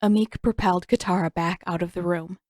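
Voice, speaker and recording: Expressive woman narrating a story, dry close sound. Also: fairly low pitch, brisk, dry recording. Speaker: podfic narrator and author reading her own story. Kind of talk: scripted story narration with character voices.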